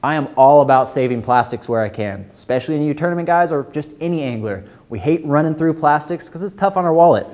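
A man's voice: fairly high pitch, expressive, darkish tone. A young man presenting new fishing tackle in an upbeat, salesy rundown, recorded indoors in a tackle store on a lapel mic. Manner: enthusiastic, salesy, quick filler-laden delivery. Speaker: tackle shop product presenter. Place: indoor tackle store aisle with a wall of lures.

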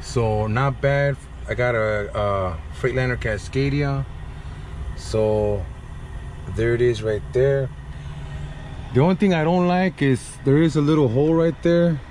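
A man's voice: medium pitch, measured pace, darkish tone. A man vlogging as a trucker, talking casually to viewers while he works, outdoors at a gas station at night on a head-mounted camera. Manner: laid-back, talks to viewers while working, mixes in Spanish phrases. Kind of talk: casual how-to vlog. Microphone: head-mounted action camera. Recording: noisy outdoor vehicle ambience.

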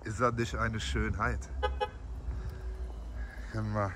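A man in his forties with a cold, talking casually and excitably into a phone camera held at arm's length. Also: on the low side, takes it slowly, background noise. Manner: chatty, excitable, swears casually. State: has a cold. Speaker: vlogger. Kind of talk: casual vlog. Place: living room, then car park and car interior.

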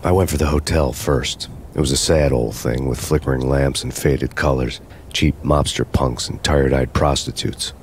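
Extremely deep, deadpan hard-boiled noir cop. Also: male voice, normal speed, a little hiss or hum. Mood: brooding. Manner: deadpan, sardonic, brooding. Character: world-weary, hard-boiled undercover cop narrating his own story. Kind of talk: noir first-person game narration. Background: music.

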